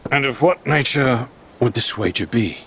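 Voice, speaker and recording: An actor playing a sly, evasive pirate captain in a video game, with a mannered, wordy delivery. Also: male voice, mid-range voice, expressive, moderate pace, fairly bright tone, breathy edge. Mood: wary, evasive. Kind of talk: video game cutscene acting.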